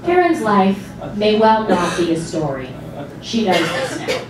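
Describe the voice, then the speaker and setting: Female narrator for staged literary reading, medium pitch, recorded from afar with echo. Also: very animated, a little slow, crowd noise. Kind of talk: scripted dramatic narration. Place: small stage before a seated audience. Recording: distant mic, very echoey hall, noisy.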